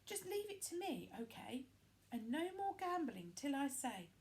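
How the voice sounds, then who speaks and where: A young storybook heroine cries out high and pleading, as a woman voices her. Her bright, lilting voice rises sharply. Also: big pitch swings, measured pace, exaggerated voice. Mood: confident. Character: young girl, pleading then scheming.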